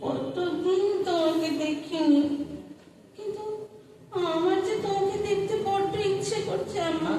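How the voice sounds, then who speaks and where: A woman acting an eager, lonely little schoolgirl in a stage drama, with a childlike, pleading, excitable delivery. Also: a little slow. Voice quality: childlike, high voice. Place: large hall at a recitation festival. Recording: echoey hall, distant mic, faint noise.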